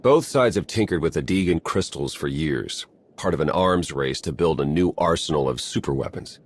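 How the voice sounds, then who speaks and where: An actor playing a bitter, resolute agent turned traitor in a video game, speaking in a wry, grim, deliberate manner. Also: male voice, a bass voice, expressive, slightly rough, exaggerated voice. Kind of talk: video game cutscene acting.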